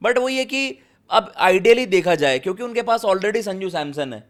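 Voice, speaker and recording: A man's voice: high-pitched, lively intonation, moderate pace, fairly bright tone. A man giving opinions as a panelist in a casual cricket debate, colloquial and slangy, mixing in English words. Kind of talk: casual sports debate chat.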